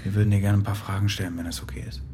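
An actor playing a wry, pedantic police detective in a German radio crime drama, dry and formally polite. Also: male voice, on the low side, little pitch movement, racing, very noisy, natural voice.

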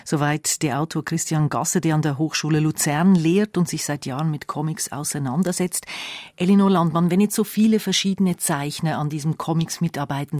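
A woman's voice: deep voice, a little mellow, dry recording. A woman hosting a radio magazine, asking questions and summing up in a conversational interview style.

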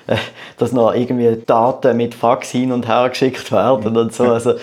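A man's voice: medium pitch, level tone, normal speed, a little mellow. A man in his thirties with a Swiss German accent, chatting casually as an interview guest and often repeating words as he goes. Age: 30s. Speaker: podcast guest, start-up entrepreneur.

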